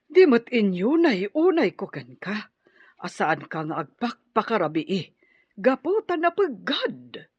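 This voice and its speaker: A woman playing a worried, fussing mother in an Ilocano radio drama, with a husky voice and expressive, concerned delivery. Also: mid-range voice, slow, heavily acted. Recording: slightly muffled radio drama sound.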